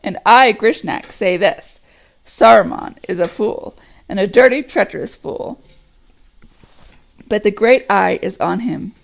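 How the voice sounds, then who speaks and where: Lady doing a sly sneery orc, soft and nasty, bit of gravel. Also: mid-range voice, unhurried, pauses often, low-quality recording. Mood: sneering. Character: sly male orc messenger, scheming, sneering.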